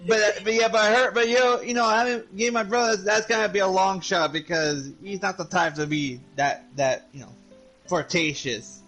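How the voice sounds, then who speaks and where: Guy on a group stream, highish voice, casual banter, keeps restating his point, music going behind him. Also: moderate pace, bright, forceful tone, low-quality recording.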